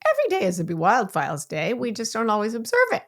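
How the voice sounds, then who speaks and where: A woman's voice: medium pitch, wildly swooping pitch, fast talker. A woman co-hosting a podcast in casual chat, trading dry, teasing banter. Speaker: podcast co-host.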